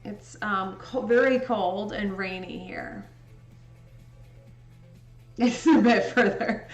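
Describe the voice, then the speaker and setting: A young woman from Indiana with a Midwestern accent, chatting casually with viewers during a livestream while she edits photos. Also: medium pitch. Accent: Midwestern American. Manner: slow, relaxed, chatty with frequent laughs. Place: indoor home room. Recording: echoey room with faint music.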